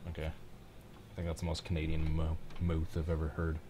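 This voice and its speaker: A man's voice: rumbling low, completely flat delivery, normal speed, background noise. A man with a Midwestern American accent, chatting casually and reacting live to a race as a stream host.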